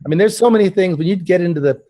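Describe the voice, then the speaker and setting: A man hosting a relaxed conversation, his voice a touch high and mellow. He talks earnestly, often restarting and repeating words as he gathers his thoughts. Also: rapid-fire. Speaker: host. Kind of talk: casual interview chat.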